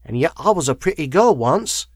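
Seeking a man to play a sly, coarse slum woman for a storybook: high, wheezy, wheedling, wildly swooping. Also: very animated, normal speed, breathy edge, no room sound, studio quality. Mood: bitter, mocking. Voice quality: wheezy, chuckling. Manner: wheedling, coarse, addresses listener familiarly. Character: bent, wheezy, cunning middle-aged slum woman.

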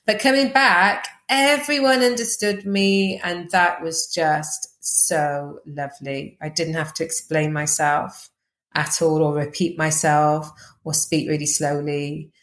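A woman with a Welsh accent, chatting quickly as a podcast guest, full of fillers and restarts. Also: low-pitched, fairly even intonation, a bit bright, roomy sound. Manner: fast-talking, chatty, lots of fillers. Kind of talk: casual interview chat.

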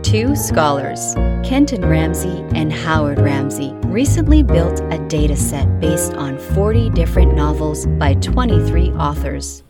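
Low-pitched woman narrating over prominent music. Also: expressive, unhurried, few pauses. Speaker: podcast narrator. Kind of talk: scripted podcast narration.

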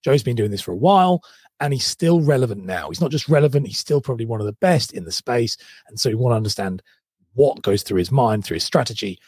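A man hosting a podcast, his voice hoarse and husky. He talks eagerly and loosely, restarting his questions as he goes. The sound is dry and close. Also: medium pitch, lively intonation, fast talker, a little mellow, no room sound. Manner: enthusiastic, rambling questions with many restarts. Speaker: podcast host. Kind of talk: casual interview chat.

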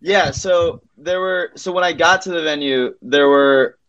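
Male comedian guest, somewhat high voice, loose conversational storytelling, halting and self-interrupting. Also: a bit bright, dull audio.

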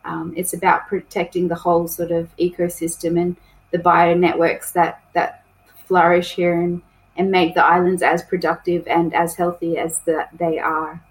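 A woman's voice: fairly low pitch, monotone, moderate pace, low-quality recording. A woman, a conservation project coordinator, talking chattily as a podcast guest with frequent ums and restarts. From New South Wales, Australia. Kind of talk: casual podcast interview.

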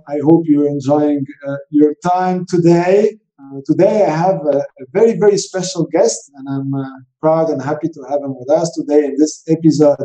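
A man hosting a podcast interview, with a lively, upbeat delivery that lifts sharply in pitch. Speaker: podcast host. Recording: roomy echo with faint music.

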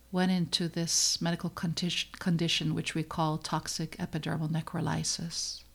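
A middle-aged woman from the Netherlands hosts her own podcast, speaking slowly and deliberately with a low-set voice. Her tone is earnest and reflective, and a gravelly fry runs through it as she lets pauses land. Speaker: podcast host, pediatrician. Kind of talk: scripted personal podcast narration.

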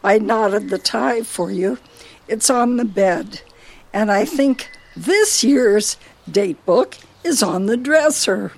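An actress with an American accent playing a bossy, forgetful, scolding older wife in a radio play. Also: female voice, medium pitch, animated, slow pace. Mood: exasperated, scolding. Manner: scolding, fussy.

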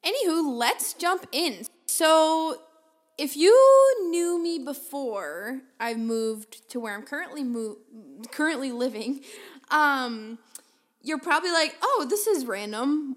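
A woman hosting her own podcast alone in a small office, talking casually and upbeat into a desk microphone, with a loud voice that carries. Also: high-pitched. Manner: chatty, upbeat, laughs often, self-deprecating. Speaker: podcast host. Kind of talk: casual solo podcast chat. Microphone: desktop microphone.

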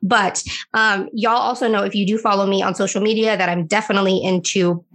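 A woman hosting a podcast, relaxed and chatty, with many fillers and quick agreeing backchannels. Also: mid-range voice. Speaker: podcast host. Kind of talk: casual interview chat.

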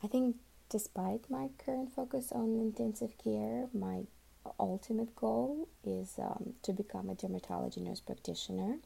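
Very gravelly, dark-voiced woman. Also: medium pitch, fairly even intonation, moderate pace, poor audio. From Uzbekistan. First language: Russian. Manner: soft and half-whispered, many ums and restarts. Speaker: nurse introducing herself to classmates. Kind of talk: unscripted self-introduction.

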